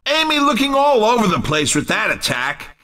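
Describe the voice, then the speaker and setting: An actor playing an excitable, teasing race commentator in a video game, delivering punchy, mocking exclamations. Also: male voice, high-pitched, normal speed, full character voice. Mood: excited, mocking. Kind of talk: arcade racing game commentary.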